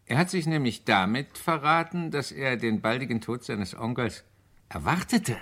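An actor playing a confident British police inspector in a German radio crime drama, explaining methodically with deliberate emphasis. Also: male voice, mid-range voice, animated, fairly bright tone. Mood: satisfied, emphatic.

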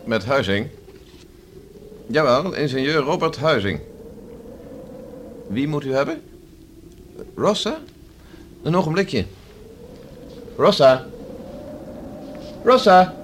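A man's voice: on the high side, lively intonation, takes it slowly, long pauses. An actor playing a male engineer in a radio drama, with a clipped manner of speaking and calling out. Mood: brisk. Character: male engineer answering the household telephone. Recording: faint noise on old radio recording.

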